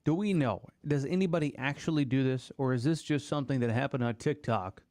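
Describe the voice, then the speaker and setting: A man's voice: medium pitch, fairly quick, a little mellow, dry recording, studio quality. A man co-hosting a radio morning show, teasing his colleagues in wry, mock-disgusted banter. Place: radio studio. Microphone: studio microphone.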